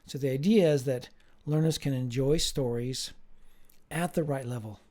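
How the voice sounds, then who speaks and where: A man, a professor, giving a slow, emphatic recorded lecture with playful asides. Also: mid-range voice, mellow.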